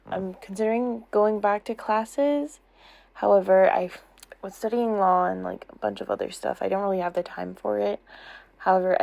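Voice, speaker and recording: A woman's voice: medium pitch, vocal fry, mellow. A young woman in a casual question-and-answer chat, talking in an informal, rambling, giggly way. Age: young adult. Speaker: content creator answering subscriber questions.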